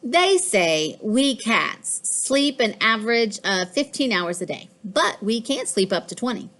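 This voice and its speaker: A woman voicing a boastful, fussy pet house cat in a children's storybook, with expressive, deliberate delivery. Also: a little high. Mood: boastful, playful. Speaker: author reading own children's book. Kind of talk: scripted children's storybook narration.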